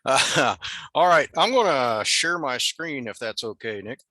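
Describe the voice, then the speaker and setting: An older American man presents informally to a radio club over a video call. His voice is bright and sits at an average pitch, with a dry, close sound. He speaks in an easygoing, self-deprecating way. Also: animated, measured pace, no echo. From Nebraska, USA.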